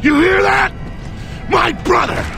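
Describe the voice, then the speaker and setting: A man playing a gruff, curt, battle-hardened squad leader in a video game, with a gravelly voice. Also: very high voice, expressive, slow pace, shouting, over music, heavily acted. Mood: angry, grieving.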